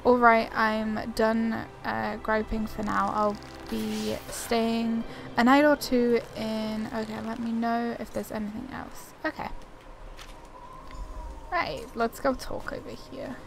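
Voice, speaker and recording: A woman's voice: fairly high pitch, level tone, slow, gravelly, slight background noise. A woman doing casual gameplay commentary and reading quest text aloud, chatty, informal and self-deprecating. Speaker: gaming YouTuber. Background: video game ambience.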